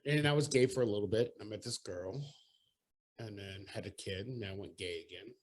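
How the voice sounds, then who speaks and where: A man's voice: lowish voice, brisk. A man from California, co-hosting a podcast in casual chat, joking and laughing often. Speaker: co-host.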